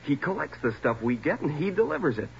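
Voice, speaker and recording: An actor playing a frightened twenty-year-old burglary suspect in a 1940s radio drama, pleading, evasive and stammering. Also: male voice, a little high, big pitch swings, fast talker, vocal fry. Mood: nervous confessing. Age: young adult. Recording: noisy, muffled 1940s radio recording.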